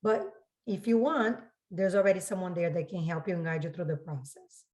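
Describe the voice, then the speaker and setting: A woman's voice: fairly low pitch, fairly quick, darkish tone. Woman explaining things, repeating for clarity. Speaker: school registrar answering parents' questions. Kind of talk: informal spoken explanation in a public Q&A.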